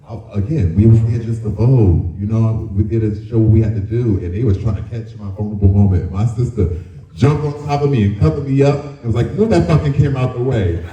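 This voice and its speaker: A man with a deep, very dark and mellow voice shares candid stories on a panel. He talks colloquially, slipping into quoted asides. The sound is muffled and echoey, picked up away from the mic. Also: brisk, distant mic. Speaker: dancer on a discussion panel. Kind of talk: candid personal storytelling at a panel. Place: town hall event room.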